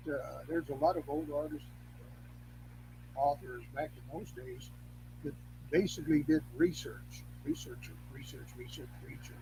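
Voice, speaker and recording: Older man, higher-pitched voice, noisy recording. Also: expressive, moderate pace, warm tone. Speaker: podcast guest, elder of a witchcraft tradition. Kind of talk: casual rambling conversation. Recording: noisy line with hum.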